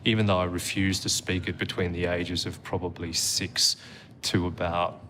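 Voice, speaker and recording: A middle-aged man, telling stories reflectively as an interview guest, with frequent pauses and fillers. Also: low voice, level tone, background noise. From Australia. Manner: reflective storyteller, measured with frequent "um"s. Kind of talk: conversational interview. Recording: music playing underneath.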